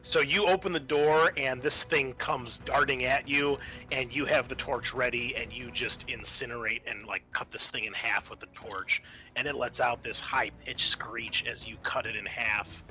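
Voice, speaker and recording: Bright-voiced man running a tabletop game. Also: medium pitch, steady tone, moderate pace, rough recording. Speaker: game master. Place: group game session.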